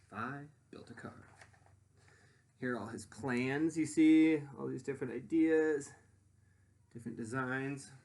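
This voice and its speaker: A man reading a picture book aloud in an expressive storytelling style, with simple explanatory asides. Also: mid-range voice, a little slow, long pauses. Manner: animated, dramatic emphasis, explains words simply.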